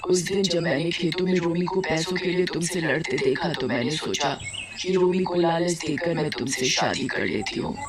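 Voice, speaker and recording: A woman voicing a lovelorn witch ghost in a cartoon story, soft, earnest and sorrowful. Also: low-pitched, moderate pace, far from the mic, over a noisy crowd. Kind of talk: melodramatic cartoon story acting. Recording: loud music behind the voice.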